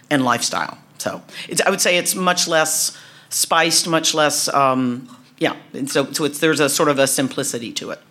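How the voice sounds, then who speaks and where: A middle-aged woman, talking casually to an audience, chatty and warm, with many restarts and laughs. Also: deep voice.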